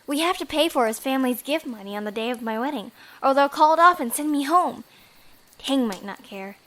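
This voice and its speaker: A woman's voice: sits high, expressive, a little fast, fairly bright tone. A young woman acting in an audio drama as an earnest, pleading bride-to-be who is secretly a bandit. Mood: earnest, sorrowful.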